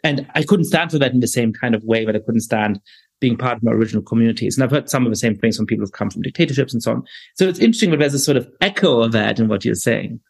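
A man hosting an interview podcast, introducing his guests and asking questions in a measured, thoughtful way. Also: medium pitch, vocal fry, darkish tone, slightly rough. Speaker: podcast host.

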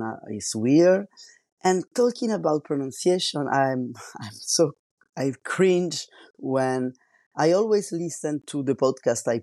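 A man with an Italian accent talks through a solo podcast at an unhurried, careful pace, stopping to hunt for words in a language that isn't his first. His voice is mellow and dark, recorded close and dry with no room sound. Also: medium pitch, no echo. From Italy. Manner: slow, careful, searching for words. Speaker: podcast host.

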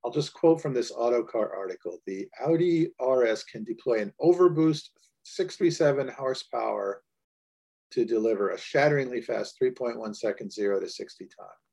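A man co-hosting a podcast, musing and joking in relaxed conversation. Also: medium pitch, normal speed, vocal fry, husky. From United States. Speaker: podcast co-host. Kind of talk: casual podcast discussion.

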